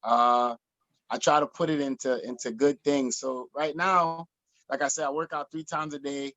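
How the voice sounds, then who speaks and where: A man, telling his story as a guest in an interview, animated, energetic, with many restarts. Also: medium pitch, normal speed, no room sound. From Jamaica. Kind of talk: video call interview. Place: small room in front of a printed backdrop. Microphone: laptop or webcam on video call.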